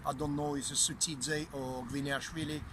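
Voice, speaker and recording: Russian man, blunt and animated. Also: on the high side, normal speed. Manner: blunt, animated, repeats himself. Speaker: boxing promoter. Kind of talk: casual outdoor interview. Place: outdoors, windy. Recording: outdoor wind noise.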